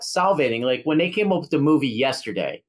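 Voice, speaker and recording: A man in his fifties hosts a casual talk. He jokes easily and throws in quick one-liners between questions. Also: mid-range voice, very animated. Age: 50s. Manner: joking, quick quips. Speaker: host. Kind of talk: casual chat.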